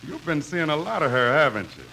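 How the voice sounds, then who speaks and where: A man's voice: fairly high pitch, big pitch swings, brisk. An actor playing a helpful, curious friend, an easygoing straight man in 1950s radio comedy. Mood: friendly, curious. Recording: noisy vintage radio recording.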